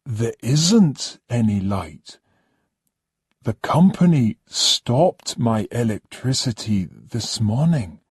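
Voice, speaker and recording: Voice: medium pitch, big pitch swings, takes it slowly, husky, no echo, studio quality, pitched above the performer's own voice, exaggerated voice. A storybook reader voicing a polite, apologetic castle owner.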